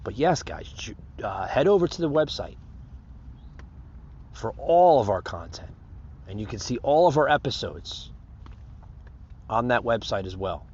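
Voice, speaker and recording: Male American podcast host, casual and rambling, very lively pitch swings, slightly muffled lo-fi audio. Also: a little slow, long pauses, slightly rough. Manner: rambling and repetitive, addressing listeners as guys. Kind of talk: casual unscripted podcast monologue, plugging pages and thanking listeners.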